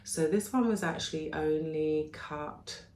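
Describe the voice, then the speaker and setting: Low-pitched woman, a bit snuffly, chatty plant vlog, rambles and corrects herself. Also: measured pace, darkish tone, slight background noise. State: stuffy with a cold. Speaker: plant vlogger. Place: home room with houseplants.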